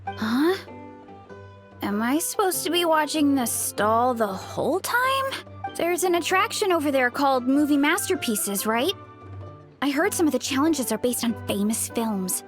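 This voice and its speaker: A woman's voice: high-pitched, animated, unhurried. A woman voicing a cheerful, easygoing young fruit seller in an anime-style video game, friendly and earnest. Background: game music.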